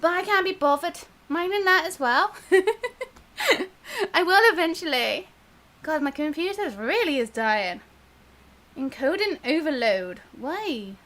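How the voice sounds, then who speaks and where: High, bright, animated woman streamer. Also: unhurried. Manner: bubbly, giggly, chatty. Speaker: live stream gamer and host. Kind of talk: casual live game stream chat.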